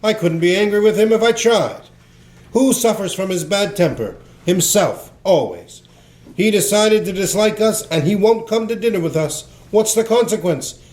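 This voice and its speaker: A cheerful young Victorian gentleman, played by a man, speaks in a high, good-humoured voice. It is theatrical radio play acting in an echoey room. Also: expressive, moderate pace. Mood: genial, forgiving. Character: genial, forgiving, cheerful young Victorian gentleman, Scrooge's nephew.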